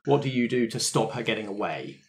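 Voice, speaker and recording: Gm running a tabletop rpg, middle pitch, chatty and encouraging. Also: very animated, brisk, slight background noise. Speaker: game master. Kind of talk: running a tabletop roleplaying game.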